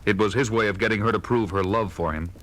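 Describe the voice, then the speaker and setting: An actor playing a stern, heroic masked crime fighter, grave and declamatory in a 1970s superhero record drama. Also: male voice, fairly low pitch, fairly even intonation, fast, close to an everyday voice, low-quality recording. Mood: grave, narrating. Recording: loud music bed under voice.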